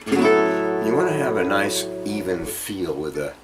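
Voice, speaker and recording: A man giving an informal guitar lesson, explaining things directly to the learner in a halting, conversational way. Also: deep voice, very animated, rough recording. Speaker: guitar teacher. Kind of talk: informal instructional music lesson to camera.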